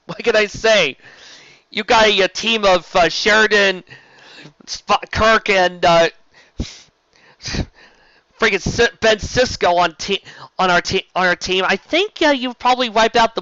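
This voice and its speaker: Bright, forceful fan-show host, stumbling and gushing. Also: medium pitch, a little slow, no echo, muffled recording. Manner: gushing, emphatic, often stumbling and restarting mid-word. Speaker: host of a fan fiction live-read show. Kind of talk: casual, rambling fan review.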